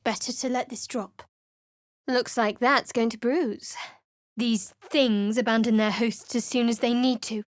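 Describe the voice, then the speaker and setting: Actress as a wary young cleric, dry and cutting, fairly high voice, close dead recording. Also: female voice, steady tone, measured pace, no room sound, lightly acted. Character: guarded sardonic young woman cleric adventurer. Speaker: game character. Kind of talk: studio game voice acting and narration.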